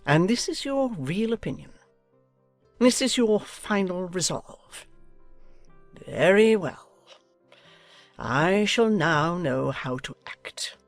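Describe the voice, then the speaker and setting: Imperious older aristocratic lady voiced by a woman, pompous and commanding, measured pace with big swings in pitch, period audiobook drama. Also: no room sound, full character voice. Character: haughty, domineering older aristocratic English lady, outraged and imperious.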